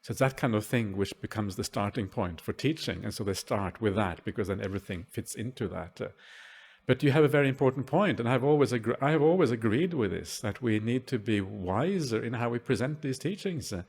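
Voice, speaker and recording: A man, a Buddhist monk, answering questions at a meditation retreat in a gentle, halting way with many "uh" fillers. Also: medium pitch, expressive, breathy edge. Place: retreat hall at a forest monastery.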